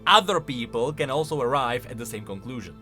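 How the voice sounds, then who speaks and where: A man giving casual, expressive commentary as a video host, thinking aloud as he goes. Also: mid-range voice, brisk, faint music behind.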